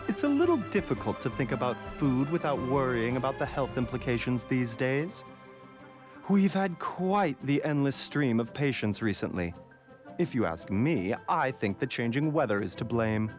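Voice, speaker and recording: An actor playing a gentle, scholarly, long-winded herbal pharmacist who speaks politely and formally in a fantasy game. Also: male voice, mid-range voice, very animated, normal speed, music in the background, close to an everyday voice. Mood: earnest, apologetic. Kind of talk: anime fantasy game voice acting.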